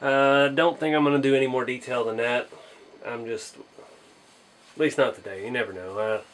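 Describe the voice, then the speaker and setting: A man's voice: medium pitch, steady tone, normal speed, fairly bright tone, slight background noise. A man hosting a casual hobby build vlog, speaking in a modest, hesitant way as he thinks aloud. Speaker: hobby channel host.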